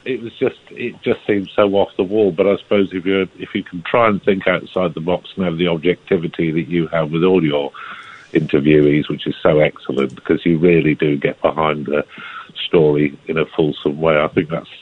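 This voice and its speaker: A man's voice: very deep voice, steady tone, brisk, slightly rough, dry recording. A man phoning in to a radio show, agreeable and full of compliments for the host. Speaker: caller. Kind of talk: phone-in chat. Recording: tinny phone line.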